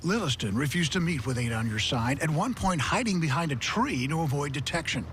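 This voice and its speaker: A man's voice: on the high side, lively intonation, fairly quick, background noise. A middle-aged or older man narrating a scripted investigative news report in a folksy, pointed way.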